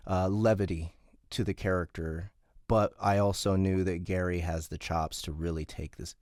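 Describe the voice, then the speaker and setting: A man hosting an interview and directing the audiobook, speaking haltingly with frequent ums. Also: low-pitched, darkish tone, dry recording, studio quality. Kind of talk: casual interview chat.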